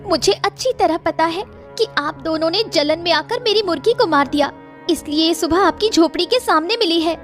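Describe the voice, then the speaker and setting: Cartoon villager played by a woman: excitable, distressed, plaintive, agitated, very high voice. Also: normal speed, faint music behind. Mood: upset, accusing. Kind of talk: Hindi cartoon story voice acting.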